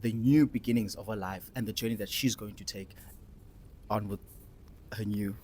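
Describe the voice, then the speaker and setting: A man's voice: on the low side, fairly even intonation, moderate pace, a little mellow, a little hiss or hum. A young man with a South African accent hosting a casual discussion, telling personal stories with emphatic repetition. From Cape Town, South Africa. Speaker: discussion host and participant.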